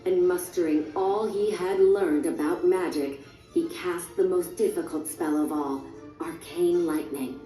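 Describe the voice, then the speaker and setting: A woman narrates a read-along storybook in a soft, dark-toned voice. Her pitch sits on the low side, and the recording is roomy, with music playing underneath. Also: fairly even intonation, unhurried, distant mic.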